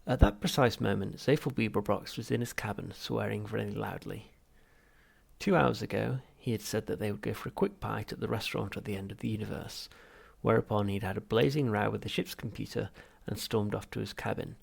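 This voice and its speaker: Very gravelly, husky man reading an audiobook. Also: lowish voice, a little fast. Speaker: audiobook reader. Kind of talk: scripted narration of comic science fiction.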